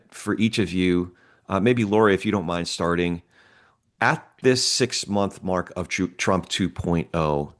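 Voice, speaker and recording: A male podcast host leads a casual roundtable. His voice is very deep. He talks in a relaxed, halting way, often pausing with fillers and restarting his sentences.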